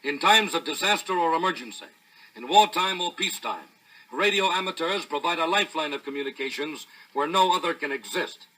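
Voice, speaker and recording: Bright, husky 1950s American announcer. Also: male voice, a little high, a little fast, rough recording. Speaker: narrator. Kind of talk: 1950s promotional record narration, scripted.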